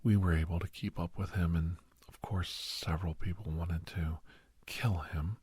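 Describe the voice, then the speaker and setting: A man playing a grave doctor in an ASMR roleplay, telling a haunting memory in a hushed, halting, breathy voice that often drops to a whisper. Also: deep voice, fairly even intonation, no room sound. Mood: grave, intent. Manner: halting, hushed, dramatic delivery. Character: grave male doctor recounting a frightening memory to youngster.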